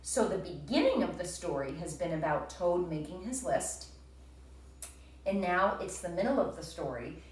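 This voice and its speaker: A woman speaks as a primary school teacher, explaining a story to young children and talking to them directly. Her voice is on the low side and swings widely in pitch. The room echoes heavily and the mic sounds distant. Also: big pitch swings, moderate pace. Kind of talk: read-aloud reading lesson for young children.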